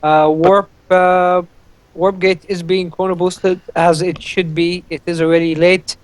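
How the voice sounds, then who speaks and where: A man co-casting a live strategy game, giving measured play-by-play with frequent fillers. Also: high voice, little pitch movement, clean tone, no room sound. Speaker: esports co-caster.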